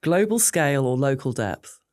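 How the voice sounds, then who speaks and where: A woman hosts a business interview, moving briskly from one question to the next. Her voice sounds dry and close, with no room echo. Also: very deep voice, big pitch swings, darkish tone. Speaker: host.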